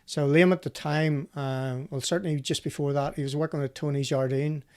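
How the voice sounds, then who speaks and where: A man with a fairly high voice and a gravelly creak hosts a casual live show. He chats freely, spinning anecdotes and trading banter with his guest. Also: fairly even intonation, fairly quick, darkish tone. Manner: chatty, storytelling, bantering. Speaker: host of a live rally show; former professional co-driver.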